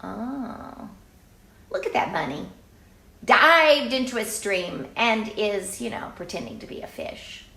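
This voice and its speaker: An older woman reading a picture book aloud to children in an informal way, with chatty, exclaiming asides and drawn-out emphasis. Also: mid-range voice, sing-song, gravelly, sharp tone, echoey room.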